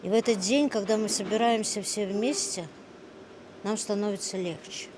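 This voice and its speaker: A woman giving an earnest interview in measured, solemn phrases. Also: medium pitch, steady tone. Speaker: performer. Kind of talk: on-site interview, earnest reflection. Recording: clear background noise. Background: noisy ambience.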